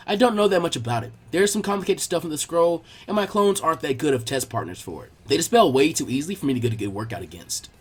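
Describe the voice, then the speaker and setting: A man's voice: a little high, expressive, fast, hardly any pauses, husky. A man voicing an earnest, scrappy young boy ninja with a casual, slightly hesitant way of talking in a story reading. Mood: casual, unsure.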